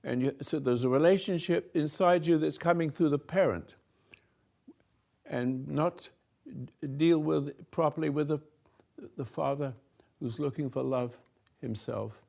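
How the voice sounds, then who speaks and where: Man, older, gravelly, very slow pace, halting, spiritual teacher addressing a room. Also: on the high side. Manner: halting, repeats and restarts words, swings from soft to loud. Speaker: spiritual teacher hosting a talk.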